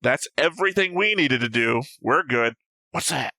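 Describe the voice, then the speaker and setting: A man with a bright, forceful voice, recorded close and dry. He talks casually and argumentatively, picking a story apart point by point, with some swearing. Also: medium pitch, animated, normal speed, no room sound. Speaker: host of an anime reaction show. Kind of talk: casual discussion and analysis of an anime episode.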